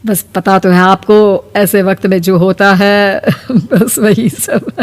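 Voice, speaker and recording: A woman's voice: mid-range voice, fairly even intonation, takes it slowly, voice pushed down, natural voice. A woman voicing a fussy, upbeat elderly father-in-law in a dramatized family story. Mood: polite. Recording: long reverb with music behind.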